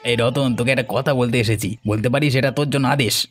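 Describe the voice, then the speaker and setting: A man's voice: mid-range voice, brisk, lightly acted. Cartoon village scoundrel, male actor: middle register, bossy, threatening, scheming, light music behind. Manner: commanding, menacing. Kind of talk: Bengali cartoon story dialogue.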